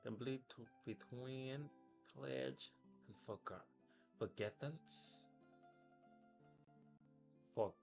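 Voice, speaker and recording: A man's voice: mid-range voice, slow, vocal fry, long pauses, a little mellow, soft background music. Rambling, slangy male game streamer. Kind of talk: casual live game commentary.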